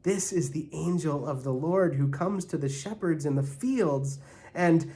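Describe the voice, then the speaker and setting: A man puts on a storybook mother, earnest and patient. She speaks slowly and with measured care, as if explaining or reading scripture to children. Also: on the high side, dark tone, slight background noise. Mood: solemn reading.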